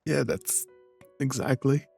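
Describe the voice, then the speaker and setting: Very deep, husky-voiced woman gamer. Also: steady tone, slow, dark tone, soft background music. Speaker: gaming streamer. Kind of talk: casual reactions during game playthrough.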